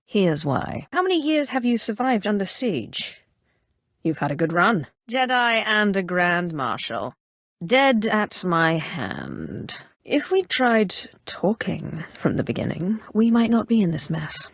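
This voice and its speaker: A woman playing an imperious, calculating Sith sorcerer in a video game, with a measured, haughty and coolly threatening delivery. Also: mid-range voice, very animated, no room sound, clean studio sound. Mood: menacing. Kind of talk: scripted game character dialogue.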